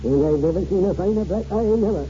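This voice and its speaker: Roguish old Scottish grandfather, acted by a man, high-pitched. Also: quick pace, mellow, close to an everyday voice. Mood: proud, rousing. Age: older. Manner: grandiloquent, theatrical. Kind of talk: 1940s radio acting. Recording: muffled, noisy 1940s radio recording.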